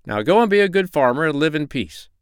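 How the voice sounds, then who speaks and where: A man's voice: a little high, very animated, quick pace, smooth, no room sound, clean studio sound, voice pushed up. A man voicing a kindly but stern animal doctor in a children's story, lecturing in a firm, formal way. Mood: firm, commanding.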